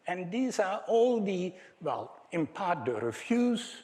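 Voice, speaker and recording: A man's voice: high voice, very animated, slow, a little mellow. A man with a Dutch accent giving a conference lecture, hesitant and full of fillers, with wry asides to the audience. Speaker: academic speaker.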